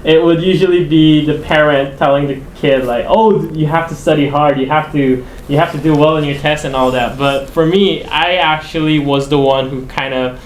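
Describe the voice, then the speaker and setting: A man's voice: a little high, moderate pace, few pauses, a little hiss or hum. Young man, grew up in the Philippines, podcast co-host, chatty, echoey room, faint ambience. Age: young adult. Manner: chatty, lively storyteller with laughs. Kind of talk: casual chat.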